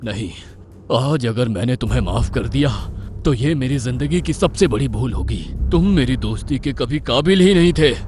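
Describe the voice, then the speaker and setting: A man's voice: mid-range voice, expressive, normal speed, a little mellow, a little hiss or hum, close to an everyday voice. A man voicing a grave, righteous big animal in a cartoon story, speaking sternly and reproachfully. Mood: betrayed, stern. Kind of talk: Hindi cartoon story voice acting.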